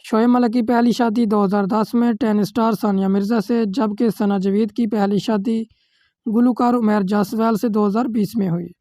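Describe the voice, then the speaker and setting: A woman's voice: mid-range voice, fairly even intonation, normal speed, mellow, dry recording, studio quality. A woman with a Pakistani accent reading a scripted celebrity news voice-over steadily, in formal phrasing. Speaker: news narrator.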